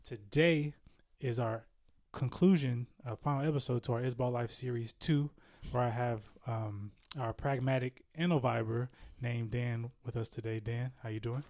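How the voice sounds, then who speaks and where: A man's voice: mid-range voice, fairly even intonation, normal speed, hardly any pauses, darkish tone. A man hosting a podcast, introducing his guest in an upbeat, conversational way and reading out the guest's bio. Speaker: podcast host. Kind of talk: podcast introduction and casual chat.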